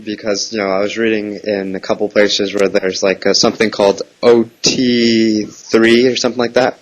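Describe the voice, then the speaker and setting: A man's voice: lowish voice, flat delivery, moderate pace, low-quality recording. A man calling on the phone with casual, meandering questions, hesitant in delivery but persistent. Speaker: prank caller. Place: phone call.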